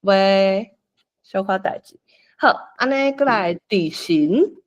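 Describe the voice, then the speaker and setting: A host with a Taiwanese accent casually organizing people in an online meeting, coaxing and animated. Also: medium pitch, slow, dull audio. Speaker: host assigning roles for a script read-along.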